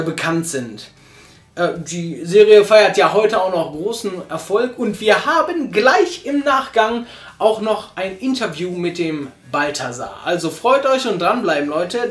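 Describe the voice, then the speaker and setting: A man's voice: sits high, roomy sound. A young man hosting a casual review chat on a sofa, enthusiastic and rambling with lots of fillers. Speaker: podcast host and reviewer. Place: small living room, on a sofa.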